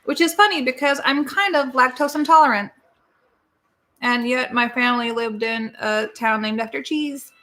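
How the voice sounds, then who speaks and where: A woman's voice: high voice, normal speed, pushed and bright. A woman co-hosting a live stream, chatting casually and rambling as she reads viewer comments. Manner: chatty and rambling, spelling words out. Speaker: co-host of a live watch party stream.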